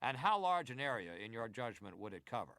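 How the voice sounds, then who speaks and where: A senator at a historical inquiry, speaking in a middle-pitched voice with a dry, close sound. His questioning is formal, deliberate and probing, as in a dramatized hearing. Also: sing-song, gravelly, a bit bright, dry recording. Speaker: actor reading hearing testimony.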